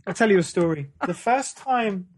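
A man telling comic stories casually on a podcast, in a halting, self-mocking, sweary way. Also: high voice, expressive. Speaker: podcast co-host.

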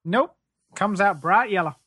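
Someone putting on a whiny working class space plumber, deadpan n rambly, comedy interview, dry sound. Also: mid-range voice, measured pace, husky, no room sound. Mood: matter-of-fact. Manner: plaintive, rambling, hesitant deadpan. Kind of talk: improvised mock interview.

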